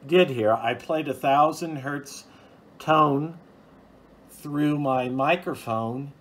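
A man's voice: on the high side, slow pace, fairly bright tone. A man giving an informal, hands-on explanation as a hobbyist video host, plain-spoken and methodical, recorded on a phone at home. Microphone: phone, off camera.

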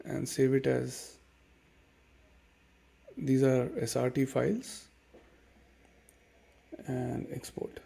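A man with an Indian accent talks through a screen tutorial at an easy pace, padding his steps with "okay" and "uh". His voice is mellow and dark with a gravelly edge. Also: mid-range voice, extremely slow, long pauses. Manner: relaxed, many fillers and "okay"s, explains step by step. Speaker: tutorial host. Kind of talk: casual screen-recorded software tutorial. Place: dim room at home.

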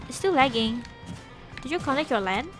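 A young woman with a Singaporean English accent and a slightly high voice, chatting loosely with her stream viewers. She giggles often and drifts between half-finished sentences. She speaks into a desk mic in a small bedroom, with faint game music underneath. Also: animated, normal speed. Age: young adult. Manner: chatty and giggly, trailing off and restarting. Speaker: Twitch streamer. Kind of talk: casual live-stream chat with viewers. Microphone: desk microphone with headset.

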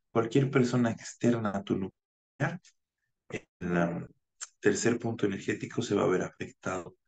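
A man in his thirties from Bolivia, a naturopath giving a measured online conference lecture over a webcam, with frequent hesitation fillers. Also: on the low side, vocal fry, a little mellow. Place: home room, indoors. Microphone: video call webcam.

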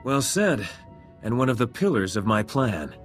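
An actor playing a composed, idealistic resistance politician in a video game, measured and formal. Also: male voice, mid-range voice, big pitch swings, close to an everyday voice. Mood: composed, earnest. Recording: music playing behind the voice.

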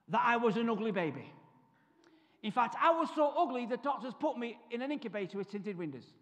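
A Mancunian man in his fifties tells his life story from a church stage, his voice pitched unusually high. He keeps the room laughing with comic asides and snaps into other people's voices. A long reverb from the hall trails behind his words. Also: fairly bright tone. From Salford, Manchester. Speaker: guest speaker. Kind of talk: testimony talk at a church service.

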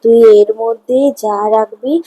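A woman's voice: fairly high pitch, steady tone, measured pace, warm tone, husky. A woman voicing a kindly old goddess in a cartoon fairy tale, speaking solemnly and benevolently. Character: kindly old goddess in old-woman guise blessing a poor man.

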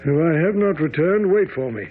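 An actor playing a genial, flustered gentleman in a radio drama, speaking in a hesitant, stammering manner. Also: on the high side, animated, normal speed, husky. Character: genial, flustered gentleman, detective's loyal friend. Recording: tinny radio broadcast, faint noise.

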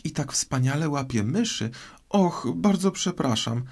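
A man puts on an earnest little girl from a storybook, high in pitch and full of lilting swings. She is polite and chatty, easily flustered and keen to smooth things over. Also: very animated, unhurried, a little mellow, exaggerated voice. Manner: earnest, apologetic, conciliatory. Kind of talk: storybook character voice.

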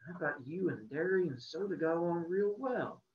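A man reading aloud, voicing a quiet, hurt teenage boy who speaks softly. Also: on the high side, animated, normal speed, narrow, tinny audio, close to an everyday voice. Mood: subdued. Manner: soft, subdued.

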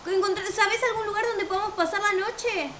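A woman's voice: very high-pitched, brisk, echoey room, noisy background. A young woman with a Rioplatense Spanish accent, chatting expressively and asking questions as she roleplays through a video game. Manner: expressive, asks many questions. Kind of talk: improvised gameplay roleplay chat. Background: music.

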